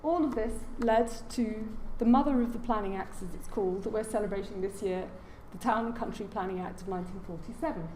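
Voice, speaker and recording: A soft-spoken woman giving a formal lecture as a guest speaker, with frequent hesitations and fillers. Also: medium pitch, fairly quick, hardly any pauses. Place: large lecture room. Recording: echoey lecture hall, faint noise.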